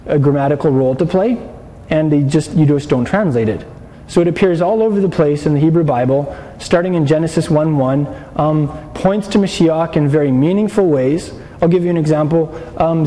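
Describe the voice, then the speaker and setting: A man's voice: fairly high pitch, warm tone, in a large hall, low-quality recording. A man teaching a class in a lecture style, explaining texts and asking his listeners questions, often restarting his sentences. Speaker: Bible teacher.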